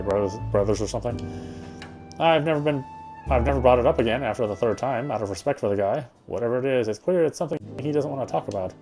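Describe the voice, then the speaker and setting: A man with a medium-pitched voice reads scripted first-person story narration aloud. He is playing a visual novel, and its music is clearly heard behind him. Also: dark tone. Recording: voice over game music.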